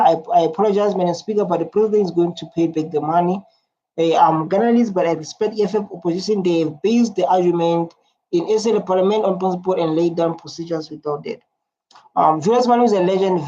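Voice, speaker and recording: A mellow, darker voice talks loosely over a clip. It rambles through viewer comments and drifts into its own thoughts. The recording sounds dull and lo-fi, with the top end rolled off. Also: medium pitch. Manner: rambling, heavy use of "like" and restarts. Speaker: reaction video host.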